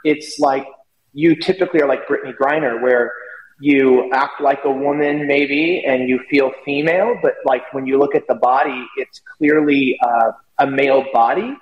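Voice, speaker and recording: A man's voice: mid-range voice, steady tone, moderate pace, lo-fi sound. A man giving casual, opinionated commentary as a talk show guest, explaining at length in a rambling way. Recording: echoey room with long reverb.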